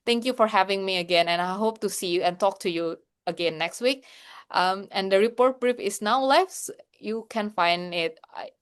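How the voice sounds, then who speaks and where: A woman's voice: mid-range voice, normal speed, clean tone. A woman closing her webinar presentation over a video call in a polite, upbeat way.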